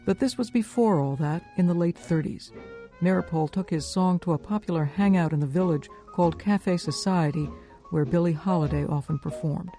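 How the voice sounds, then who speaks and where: A woman's voice: on the low side, moderate pace, mellow. A woman presenting a scripted radio documentary as host and narrator. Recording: music playing underneath. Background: music.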